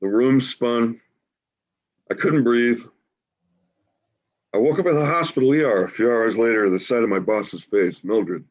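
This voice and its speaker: A man in his forties acting a grieving, wry homeless man in a staged play reading, halting and emotional in a conversational way. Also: medium pitch, lightly acted. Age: 40s. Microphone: video call. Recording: dull, lo-fi video call audio.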